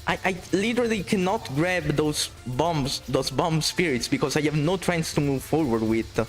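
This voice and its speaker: Excitable Italian-accented male game streamer. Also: on the high side, normal speed, soft background music. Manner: excitable, swearing, reading chat aloud. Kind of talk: casual gameplay commentary.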